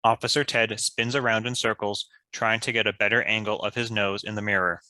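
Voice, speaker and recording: A male narrator reading out script action. His voice is fairly low and forceful in tone. It is recorded dry, with no room sound. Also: fairly even intonation, fairly quick, no room sound.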